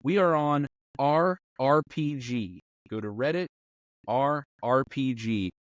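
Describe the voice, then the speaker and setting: A man speaks as the host of a role-playing advice show. His voice sits at an average pitch and he explains things conversationally. The sound is dry, with very little room in it. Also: slow pace, no echo, dull audio.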